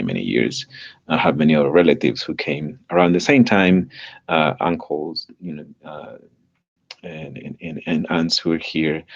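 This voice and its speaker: Very deep-voiced man from El Salvador. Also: creaky, highs cut off. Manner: halting, many fillers and repeated words. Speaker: guest, nonprofit director. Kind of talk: live podcast interview.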